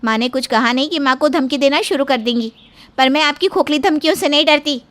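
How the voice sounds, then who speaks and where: A woman's voice: sits high, fairly quick, a bit bright. A woman voicing a bold, impatient college-age sister-in-law in a cartoon moral story, complaining bluntly and defiantly.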